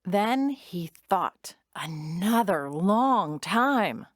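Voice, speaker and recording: A woman narrating a scripted children's story in an expressive, measured storytelling manner. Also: fairly low pitch, no room sound, clean studio sound.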